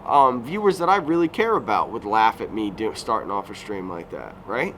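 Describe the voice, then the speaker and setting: A man's voice: on the low side, lively intonation, moderate pace, a bit bright. A man in his thirties talking casually in a rambling vlog, full of fillers and self-corrections, recorded outdoors at a resort recreation area. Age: 30s. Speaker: YouTuber. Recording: noisy outdoor recording with passing vehicles.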